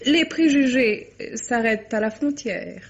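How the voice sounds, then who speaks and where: An actress playing a haughty, sharp-tongued, romantic kept mistress in theatrical, ironic 1950s French radio drama style. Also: female voice, fairly high pitch, animated, unhurried. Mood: proud. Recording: dull vintage radio recording.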